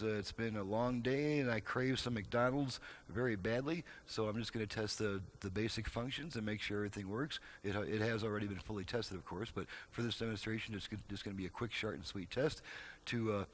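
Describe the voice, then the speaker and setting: A man's voice: on the low side, brisk, a bit bright, a little hiss or hum. American man giving a casual demo. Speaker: repair technician demonstrating a radio. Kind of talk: casual unscripted product demonstration.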